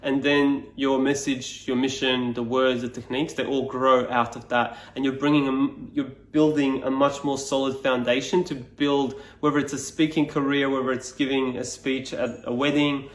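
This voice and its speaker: A man's voice: mid-range voice, flat delivery, moderate pace, few pauses, echoey room. A man whose native tongue is Serbian, a speaking coach explaining his course to camera in a reflective, loose way, with many fillers and restarts.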